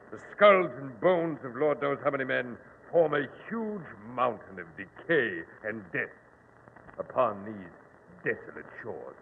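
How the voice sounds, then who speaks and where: An actor playing a coldly commanding, formal villain in an old-time radio drama, ominous and theatrical. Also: male voice, medium pitch, vocal fry, husky, heavily acted. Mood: menacing. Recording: old lo-fi radio, hiss, long reverb.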